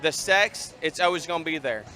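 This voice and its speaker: Bright-voiced man in his thirties. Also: on the high side, expressive, moderate pace, faint music behind. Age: 30s. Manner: emphatic, repetitive. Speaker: interviewee. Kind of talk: casual chat.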